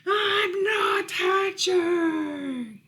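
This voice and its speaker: A woman plays a little chick still in the egg, timid and very stubborn. The voice is high and slow, with short, emphatic refusals as in a read-aloud picture book. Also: animated, creaky, bright, forceful tone, heavily acted. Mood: stubborn, fearful.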